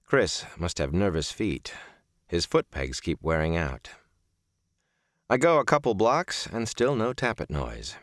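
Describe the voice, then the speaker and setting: A man reading a first-person audiobook in a measured, reflective storytelling manner. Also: low voice, big pitch swings, fairly bright tone, no room sound, clean studio sound. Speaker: audiobook narrator.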